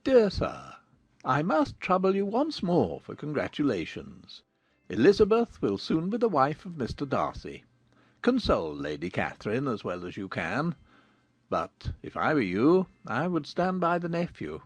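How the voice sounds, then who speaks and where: Gravelly, sardonic old English-novel gentleman played by a man. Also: a little high, wildly swooping pitch, unhurried, no room sound, full character voice. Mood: wry, sardonic. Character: wry older gentleman father, dryly mocking in letters. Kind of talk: dramatized period audiobook.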